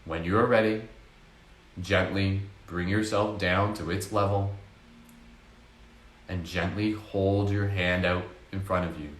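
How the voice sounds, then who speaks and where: A man with a very deep voice leads a guided meditation in a slow, soft, hypnotic way, dropping in casual fillers as he goes. The room around him is echoey.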